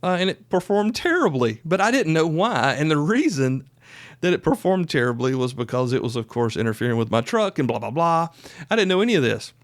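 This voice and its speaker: A man's voice: a little high, sing-song, fairly quick, dry recording. Man in his fifties hosting a dry-sounding podcast. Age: 50s. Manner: folksy, chatty, emphatic, given to asides. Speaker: podcast host. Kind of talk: casual solo podcast talk.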